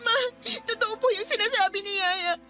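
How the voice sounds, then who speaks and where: A woman's voice: very high voice, normal speed, music in the background. A woman with a Filipino accent playing a frightened, tearful, pleading teenage daughter in a radio drama.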